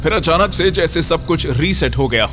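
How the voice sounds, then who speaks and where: A man's voice: on the high side, lively intonation, moderate pace, fairly bright tone, soft background music. A man narrating a scripted horror story as its storyteller.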